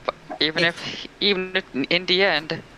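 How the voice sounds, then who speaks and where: A man with a bright, pushing voice talks casually on a stream. He feels his way through sentences with many fillers. The mic sounds dull and lo-fi, with faint noise. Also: high voice, fairly even intonation, normal speed. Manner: hesitant, heavy use of filler words. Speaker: stream participant. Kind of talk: casual discussion on a livestream.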